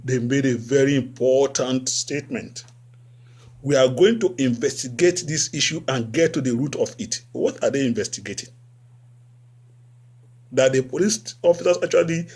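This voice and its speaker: A man's voice: vocal fry, breathy edge. A Nigerian man hosting a satirical talk show, commenting with sarcasm and repeating phrases for effect. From West Africa. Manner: sarcastic, repeats phrases, animated swings up in pitch. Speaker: host.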